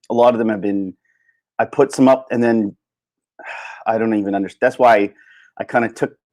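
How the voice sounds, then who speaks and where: A man hosting a solo livestream in casual chat, rambling and halting as he thinks aloud. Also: fairly low pitch, darkish tone. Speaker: livestream host.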